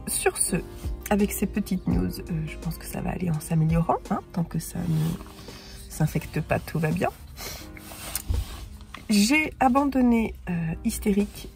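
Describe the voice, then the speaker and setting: Gravelly-voiced woman with a head cold. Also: medium pitch, mellow. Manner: halting, with frequent hesitations. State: congested with a sore throat. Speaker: book vlogger. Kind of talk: casual reading-journal chat. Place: parked car.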